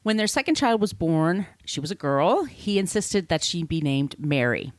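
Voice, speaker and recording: A woman's voice: lowish voice, big pitch swings, normal speed, no room sound. An American woman hosting a podcast, telling a story from notes conversationally, with informal, wry asides. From United States. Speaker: podcast host.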